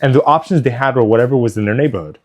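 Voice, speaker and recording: A young man on a casual podcast gives dry, deadpan replies. He lays out his reasoning step by step. His voice sits at an ordinary middle pitch. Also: very fast. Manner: dry, deadpan, explains points methodically. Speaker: podcast co-host.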